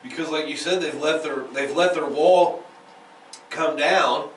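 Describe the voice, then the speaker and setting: A man's voice: on the high side, measured pace. A man co-hosting a podcast, chatting casually and bluntly, often restarting his phrases. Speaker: podcast co-host. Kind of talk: casual reactive chat. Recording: roomy, distant mic, faint noise.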